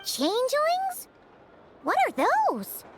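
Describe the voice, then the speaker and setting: Squeaky, childlike fairy companion. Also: female voice, huge pitch swings, slow pace, heavy background noise, heavily acted. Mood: surprised, inquisitive. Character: tiny childlike fairy companion, perky, curious, speaks of herself in third person. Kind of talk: video game voice acting. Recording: loud music under the voice.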